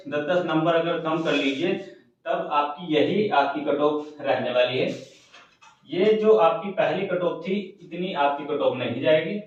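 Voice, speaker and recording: A man giving informal exam guidance to students in an instructive way, repeating points for emphasis. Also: on the high side. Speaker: exam coaching YouTuber. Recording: very echoey room, distant mic, muffled.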